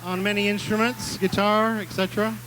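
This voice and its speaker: A man's voice: sits high, level tone, brisk, a bit bright, a little hiss or hum, rough recording. A man from England leading a band, answering interview questions in a joking, self-deprecating way. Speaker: band leader. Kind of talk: casual interview.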